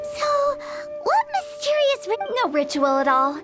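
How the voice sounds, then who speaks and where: A woman's voice: very high-pitched, huge pitch swings, unhurried, loud music behind the voice, heavily acted. A woman voicing a playful, confident young funeral parlor director in a game. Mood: teasing.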